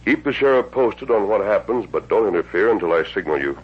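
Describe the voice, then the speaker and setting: An actor playing a resolute masked lawman in a radio western, with husky, measured, commanding speech and formal diction. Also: fairly low pitch, animated. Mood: calm, resolute. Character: resolute masked lawman hero of the Old West. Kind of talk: 1950s radio western acting. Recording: dull old radio recording.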